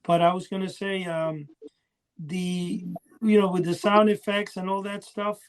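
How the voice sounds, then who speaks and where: A man with a noticeably high voice talks in a loose, conversational way, like a collector on a podcast. He rambles, doubling back and drifting away from his own point. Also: flat delivery, unhurried, smooth, rough recording. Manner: rambling, often losing his thread. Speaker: podcast co-host. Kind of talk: casual chat about collecting.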